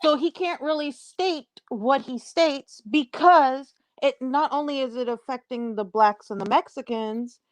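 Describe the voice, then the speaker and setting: A woman's voice: high voice, unhurried, few pauses, dry recording, low-quality recording. A woman in a casual political discussion, speaking bluntly as a panelist.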